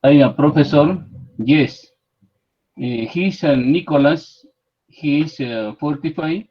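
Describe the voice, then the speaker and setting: A man in his fifties with a Peruvian Spanish accent, speaking loud, halting beginner English. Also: on the high side, husky, lo-fi sound. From Peru. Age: 50s. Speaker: professor taking a class.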